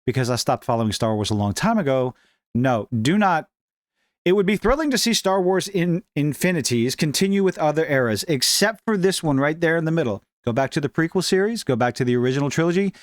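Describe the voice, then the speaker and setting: A man's voice: mid-range voice, no echo, studio quality. A man hosting a casual commentary show, reading and reacting to online posts in an opinionated, wry, informal way.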